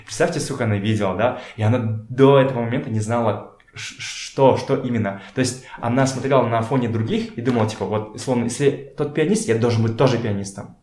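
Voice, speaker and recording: A man talking casually as a podcast guest, telling stories in a halting way as he searches for words. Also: medium pitch, a little mellow. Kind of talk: casual conversational storytelling. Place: indoors in a home. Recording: echoey room.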